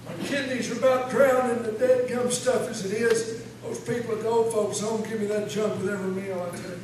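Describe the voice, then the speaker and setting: Man playing a cranky, high-pitched old codger. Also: level tone, fairly quick, lightly acted. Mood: grumbling, rambling. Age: older. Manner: grumbling, rambling, mishearing. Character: cranky, half-deaf old man from the old folks home. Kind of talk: live comic radio-play acting. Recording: echoey hall from far off, faint noise.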